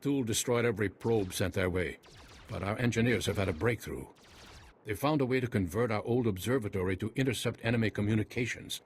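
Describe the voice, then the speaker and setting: An actor playing an earnest noble army general in a video game, speaking in a formal, measured way. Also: male voice, fairly low pitch, lightly acted. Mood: earnest, grateful. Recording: faint battle noise, gunfire behind.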